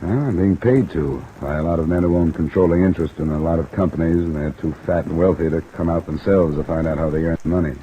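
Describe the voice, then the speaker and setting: An actor playing a cool, wry American investigator in a 1950s radio detective drama, speaking in a dry, clipped, understated way. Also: male voice, extremely low pitch, fast talker, few pauses, dark tone, a little hiss or hum. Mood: wry, casual. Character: cool, wry freelance insurance investigator, a man. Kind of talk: 1950s American radio detective acting and first-person narration. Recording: muffled vintage radio recording, rain behind.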